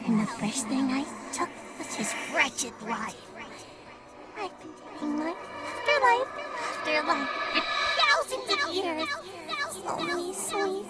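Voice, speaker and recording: A woman's voice: extremely high pitch, exaggerated voice. A woman voicing a bitter, menacing undead filly monster with a quivering, dramatic delivery in an audio story. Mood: menacing, building rage.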